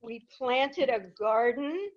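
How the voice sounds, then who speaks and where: A woman's voice: high-pitched, expressive, slow, lo-fi sound. An older woman raised in Peru telling humorous, chatty stories about her life to a live audience. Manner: humorous, chatty, self-deprecating. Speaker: guest speaker. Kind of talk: anecdotal storytelling to a live audience.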